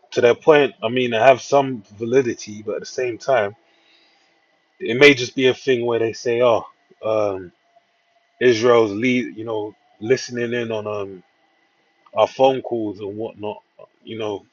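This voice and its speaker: Man, lowish pitch, raspy husky tone, rambling news commentary, preacher-like asides, muffled lo-fi mic. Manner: rambling, preacher-like asides, repeatedly checks with "all right". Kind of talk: informal commentary, reading news aloud and reacting. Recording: dull lo-fi microphone.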